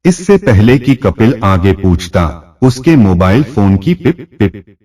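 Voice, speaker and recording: A man's voice: on the low side, very animated, slow, dark tone, far from the mic. A man narrating a scripted short story as a storyteller, recorded a little away from the mic.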